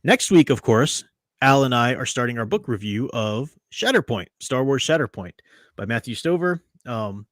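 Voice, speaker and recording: A man's voice: medium pitch, expressive, moderate pace, no room sound, clean studio sound. A man hosting a casual podcast chat, opinionated and chatty, laughing often. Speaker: podcast host.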